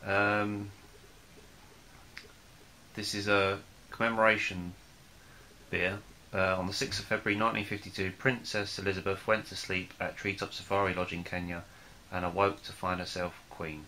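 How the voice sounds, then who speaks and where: A young man casually reviewing a beer at home, talking in a relaxed, hesitant way with many fillers. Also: low voice, moderate pace, slight background noise, poor audio. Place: small room in front of a plain wall.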